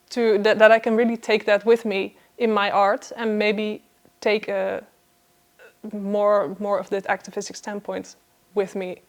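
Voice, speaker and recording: A woman giving a reflective interview answer, halting as she searches for the right words. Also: medium pitch. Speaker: theatre artist being interviewed.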